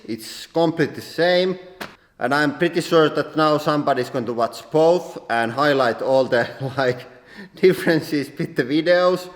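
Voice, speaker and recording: Finnish man in an echoey workshop hall. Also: mid-range voice. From Finland. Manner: plain halting English with many "like" fillers. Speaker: workshop owner and channel host. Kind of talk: casual workshop tour. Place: large industrial workshop hall. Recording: roomy echo with long hall reverb.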